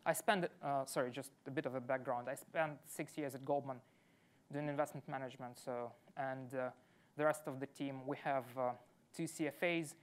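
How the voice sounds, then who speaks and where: Low-voiced woman explaining an investment strategy. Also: steady tone. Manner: explanatory, measured, with fillers. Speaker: startup founder, investment manager. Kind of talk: pitch answering questions.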